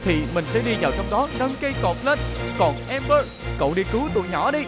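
A man's voice: high-pitched, big pitch swings, quick pace. A man voicing a young, confident police car robot who leads a rescue team in a dubbed children's cartoon, decisive and encouraging. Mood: urgent, decisive. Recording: loud music under the voice.

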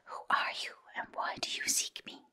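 A woman's voice: normal speed, pushed and bright. A woman voicing a regal, bargaining winged sorceress from a storybook in a whisper. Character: lovely winged lady sorceress, regal, great and terrible.

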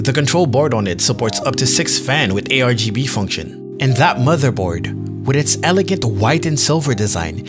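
A man presenting a tech product in an upbeat, scripted promotional style. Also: mid-range voice.

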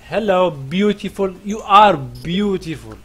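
Host muda beraksen Aljazair, suka menggoda, suara agak tinggi, lewat headset di kamar. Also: male voice, high-pitched, lively intonation, unhurried, slightly rough. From Algeria. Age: young adult. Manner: teasing, mocking. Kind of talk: prank chat with strangers. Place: indoor home room. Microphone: gaming headset.

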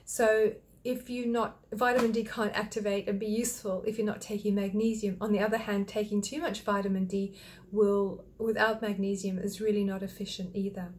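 An older woman from Australia who runs a thyroid clinic, talking straight to a webcam in a small home office and calmly listing health points one by one. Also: mid-range voice, a little fast, a little hiss or hum. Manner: friendly, explanatory, lists points one by one. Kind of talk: direct-to-camera health talk.

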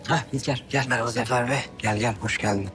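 An actor as a lovestruck, determined man in his thirties in a TV drama. He talks quickly at a middling pitch, with music clearly behind. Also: fairly even intonation, a bit bright, close to an everyday voice. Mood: eager. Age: 30s. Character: determined, lovestruck younger brother, used to giving orders. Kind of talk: TV drama acting, conversational. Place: restaurant interior.

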